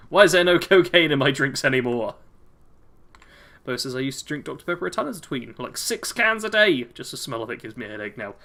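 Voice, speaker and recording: A man's voice: medium pitch, gravelly, sharp tone. A man with a British accent, streaming a video game and chatting casually with his chat, chuckling as he reads comments aloud.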